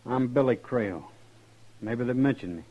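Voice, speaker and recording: An American actor playing a smooth drifter gunman in an old radio western, laconic and deliberate in his speech. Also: mid-range voice, lo-fi sound, natural voice. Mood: earnest, subdued. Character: smooth drifter gunman posing as long-lost son. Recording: vintage radio drama recording.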